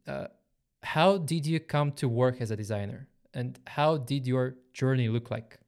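Smooth, clear-voiced male podcast host. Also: medium pitch, measured pace, a little mellow. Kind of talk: podcast hosting, conversational.

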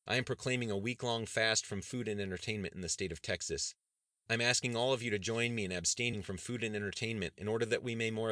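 A man's voice: on the low side, bright, forceful tone, no echo, clean studio sound, pitched above the performer's own voice. A man reading an audiobook voices a middle-aged governor delivering an impassioned speech to a crowd. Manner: impassioned oratory.

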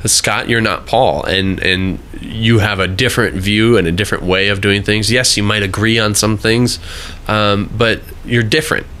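A man in his thirties hosts a casual interview, talking in a friendly, chatty way with quick asides. His voice sits a little low but has a bright, forceful edge. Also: fairly even intonation, clean tone. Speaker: podcast host.

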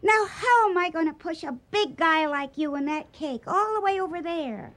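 A woman's voice: very high-pitched, animated, moderate pace, a bit bright. A woman playing a brash, suspicious young girl who haggles bluntly in a radio comedy. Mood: suspicious, cheeky.

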